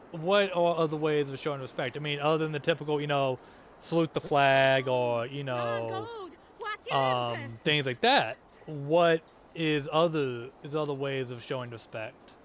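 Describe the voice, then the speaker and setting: A man's voice: on the high side, slow. Rambling man in his thirties over game noise. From United States. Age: 30s. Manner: rambling with restarts. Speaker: gamer. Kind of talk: casual gameplay commentary. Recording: steady background noise.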